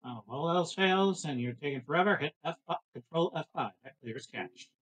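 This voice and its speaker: A man's voice: on the high side, lively intonation, moderate pace, a bit bright, breathy edge, dull audio. A man playing a tabletop game, talkative and casual, given to joking asides. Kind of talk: casual chat during a game.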